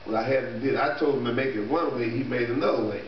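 A man's voice: mid-range voice, fast, slight background noise. A middle-aged man, a pastor, telling a story casually from the pulpit, laughing and dropping amens as he goes. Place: small church sanctuary, indoors. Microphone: podium mic at a distance. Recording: echoey church room, distant camera mic, dull.

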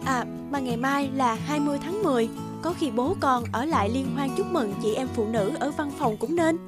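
Woman voicing a calm, high-voiced cartoon mother. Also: fairly quick, loud music behind the voice, natural voice. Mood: musing. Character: calm, thoughtful young mother. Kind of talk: animated cartoon dubbing.

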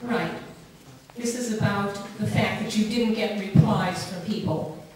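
A woman member of the public putting prepared, challenging questions in a deliberate, pointed way at a meeting. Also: medium pitch, over a noisy crowd. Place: large wood-panelled council meeting room. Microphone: desk gooseneck mic. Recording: very echoey room, distant mic.

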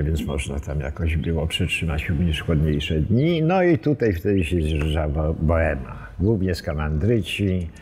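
Elderly man, very deep voice, hesitant wry reminiscing, recorded outdoors with noticeable background noise. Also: dark tone. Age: 70s. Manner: hesitant, halting, wry asides. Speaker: villa owner telling its history. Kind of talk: informal storytelling to camera, reminiscing. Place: open wooden veranda outdoors among trees. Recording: outdoor background noise, birdsong, small clicks.

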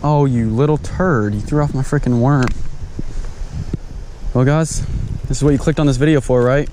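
A man filming his own fishing trip on a riverbank, talking casually to viewers and calling out excitedly when fish bite. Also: mid-range voice, normal speed, poor audio. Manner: excitable, exclaims loudly at big catches. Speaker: fishing vlogger. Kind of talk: casual outdoor vlog. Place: outdoors on a riverbank. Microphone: chest-mounted action camera. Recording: river noise behind.

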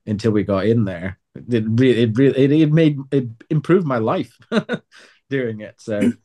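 A man's voice: medium pitch, measured pace, dark tone. A man chatting casually as an interview guest, wry, self-deprecating and emphatic. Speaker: writer, voice actor and interview guest.

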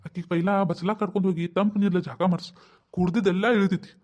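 A blunt, exasperated father, played by a man speaks in a high, quick voice with a North Karnataka Kannada accent. He fires rhetorical questions and scolds his wife without letting up in a family drama skit. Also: little pitch movement, a little mellow.

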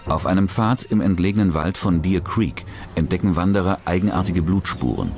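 Very deep-voiced male documentary narrator. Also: a little fast, darkish tone, soft background music. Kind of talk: scripted true-crime narration.

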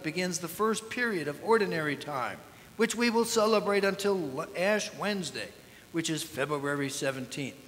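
Hoarse priest in a reverberant church. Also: male voice, fairly high pitch, a bit bright. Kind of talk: liturgical announcement. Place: large church sanctuary. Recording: long church reverb, faint noise.

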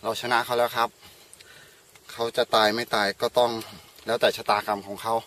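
A man's voice: medium pitch, normal speed, a little hiss or hum. A middle-aged man explaining his catch in a casual fishing commentary, recorded outdoors on a small boat on a marsh with an action camera. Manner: excited exclamations while fighting fish, calm explaining after. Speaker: fishing vlogger. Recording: background music.

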